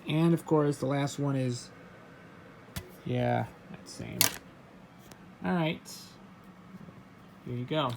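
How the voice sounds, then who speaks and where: A man casually showing his record collection, reading out titles in a halting, offhand way. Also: medium pitch, vocal fry, noisy background. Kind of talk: casual show-and-tell vlog.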